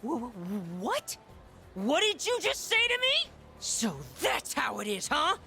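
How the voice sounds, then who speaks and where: A woman's voice: sits high, slow, vocal fry, faint music behind, exaggerated voice. A woman voicing a bratty, insecure young cult believer in a video game, haughty, volatile and theatrical. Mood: hurt, indignant. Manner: taunting, theatrical, excitable. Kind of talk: English video game voice acting.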